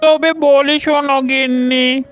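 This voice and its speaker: A woman's voice: high voice, little pitch movement, takes it slowly, faint music behind. A woman voicing an earnest, naive, good-natured male fox in a children's cartoon. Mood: reassuring. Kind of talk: children's cartoon fairy-tale acting.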